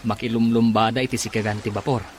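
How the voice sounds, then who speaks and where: A man's voice: on the low side, flat delivery, background noise, natural voice. A man with an Ilocano accent playing an easygoing seaman in a radio drama. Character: easygoing seaman at sea, joking with crewmate. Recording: loud rushing water sound effects.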